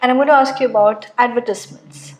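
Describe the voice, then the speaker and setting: A woman conducts a formal speaking test, reading her questions in a measured, scripted way. Her voice sits a little high. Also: fairly even intonation, rough recording. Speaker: examiner.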